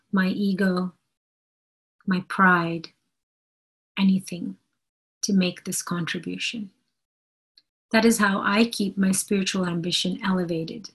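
Slow, husky woman giving a spiritual talk. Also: lowish voice. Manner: deliberate, earnest, phrase-by-phrase delivery with emphatic repetition.

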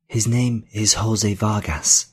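A man's voice: lowish voice, steady tone, slow, slightly rough, pitched above the performer's own voice. A man voicing an earnest woman in an audiobook, pleading and anxious to explain herself.

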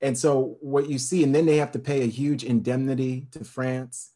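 A man's voice: mid-range voice, fairly even intonation, moderate pace, mellow. A man speaking passionately about history, building long emphatic lists and often restarting with fillers. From United States. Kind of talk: impassioned talk on history.

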